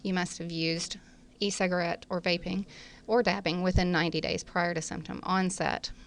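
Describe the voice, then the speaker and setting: Female physician lecturer, lowish and smooth, explaining technical material formally. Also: little pitch movement, moderate pace. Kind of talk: medical lecture commentary.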